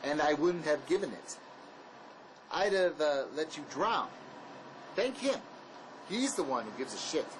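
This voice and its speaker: Man acting as a blunt, sardonic fugitive, slightly high-pitched. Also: sing-song, unhurried, pauses often, background noise. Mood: irritated. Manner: blunt, sarcastic, bossy. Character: gruff, sardonic, hard-nosed man on the run. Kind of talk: dramatic dialogue acting.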